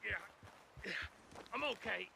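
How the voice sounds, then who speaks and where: Creaky, blustering outlaw henchman. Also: high voice, measured pace, bright, forceful tone, heavily acted. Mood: busy, guarded. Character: gruff, blustering male outlaw explosives man. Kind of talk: dramatic video game acting. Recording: noisy outdoor ambience behind.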